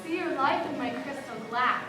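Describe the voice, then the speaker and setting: Woman acting a very high-voiced showy trickster. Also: normal speed, close to an everyday voice. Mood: mysterious, teasing. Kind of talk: theatrical stage recitation of comic verse. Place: large hall, school stage play. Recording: echoey hall from far off, crowd and music.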